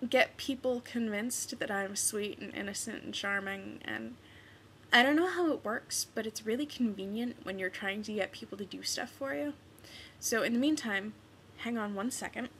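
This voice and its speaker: A woman's voice: a little high, normal speed, fairly bright tone, slight background noise. A young woman from Kansas reading a story aloud and then chatting casually, with frequent ums. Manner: chatty with hesitant fillers.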